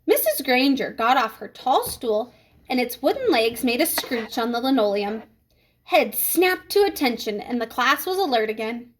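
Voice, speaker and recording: High-voiced woman reading a children's novel. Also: very animated, moderate pace. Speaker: storybook reader. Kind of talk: expressive scripted narration of a children's novel.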